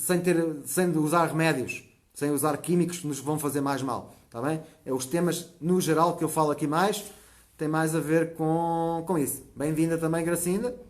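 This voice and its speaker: A man in his forties with a European Portuguese accent, talking easily in a slightly high voice. He hosts a natural health live stream and chats through viewer comments. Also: a little mellow. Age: 40s. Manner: chatty, often checks in with a quick "tá?". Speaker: natural health channel host.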